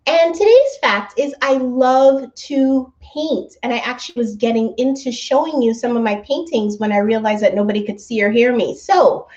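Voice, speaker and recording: A woman's voice: on the high side, normal speed, hardly any pauses, darkish tone, highs cut off. A woman in her thirties, a bit stuffy from allergies, hosting a casual weekly live stream from home and chatting upbeat with viewers, laughing often. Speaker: host of a travel company's weekly live stream. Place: indoors at home. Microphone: laptop microphone.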